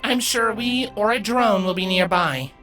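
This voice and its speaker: A man voicing a caring mother pony queen in a storybook reading, gently prodding and motherly. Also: high-pitched, unhurried, faint music behind, voice pushed up. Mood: reassuring.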